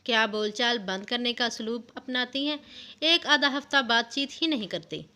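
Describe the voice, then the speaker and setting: A woman's voice: a little high, normal speed, sharp tone. A woman voicing a curious, probing female journalist who asks incredulous questions in a narrated story. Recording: music under the voice.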